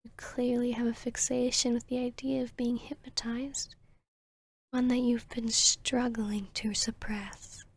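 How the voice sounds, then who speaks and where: A woman voicing an arrogant, seductive vampiress hypnotist in a whispered ASMR roleplay, with a breathy, smug, teasing delivery. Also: a little high, level tone. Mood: probing, smug. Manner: slow, smug, teasing, deliberate.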